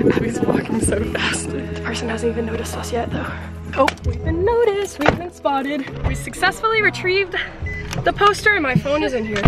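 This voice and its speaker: A young woman vlogs in a casual, chatty style on a handheld camera. Her voice sits a little high for a woman, and music plays clearly behind her. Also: poor audio. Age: young adult. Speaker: vlogger. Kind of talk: casual vlog chat. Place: handheld camera on the move in an abandoned school, later outdoors and in a car.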